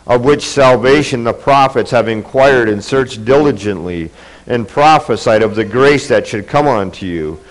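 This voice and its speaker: A man's voice: medium pitch, moderate pace, rough recording. A man preaching a sermon, congested from a cold, earnest and building in intensity. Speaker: pastor. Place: church.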